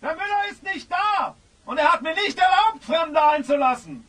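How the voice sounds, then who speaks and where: A man playing a gruff, hostile kidnapper on guard, barking brusque orders in a German audio drama. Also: very high-pitched, animated, normal speed, recorded from a distance, heavily acted. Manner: shouting, brusque, commanding.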